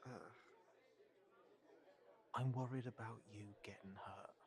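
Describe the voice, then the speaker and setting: A man playing a cautious, protective fantasy character in an intimate audio roleplay, speaking haltingly and thoughtfully as if to one companion. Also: mid-range voice, fairly even intonation, a little mellow. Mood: worried, hesitant. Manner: hesitant, halting, thoughtful, trailing off.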